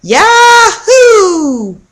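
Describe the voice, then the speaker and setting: A woman voicing an excitable, bossy young schoolboy in a children's storybook, with an emphatic, insistent manner. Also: extremely high pitch, extremely slow, shouting, voice pushed up, heavily acted. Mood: gleeful.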